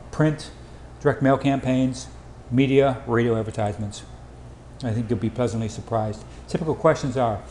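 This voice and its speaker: Guy doing a hurried slide pitch, mellow voice, roomy echo and a bit of noise. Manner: hurried, clipped phrasing. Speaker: company sales presenter. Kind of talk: informal slide presentation pitch. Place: indoor room, voice-over for slides.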